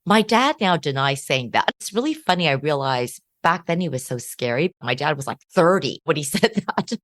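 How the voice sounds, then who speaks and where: Low-voiced woman telling family stories. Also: moderate pace, dry recording, clean studio sound. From United States. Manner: lively anecdotes with acted quotes of family. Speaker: guest. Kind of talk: interview storytelling.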